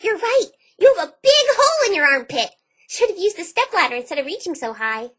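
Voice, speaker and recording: A woman's voice: very high-pitched, sing-song, a little fast, a bit bright, full character voice. A woman voicing a childlike, scatterbrained, bubbly cartoon girl pony with a perky, chirpy, naive delivery. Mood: matter-of-fact.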